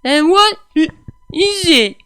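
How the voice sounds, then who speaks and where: A woman acts out a drunken, giggly female cartoon pony for a story reading. She pitches high and swings about, slurring and stretching her breathless words, rambling crudely. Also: big pitch swings, takes it slowly, fairly bright tone, heavily acted.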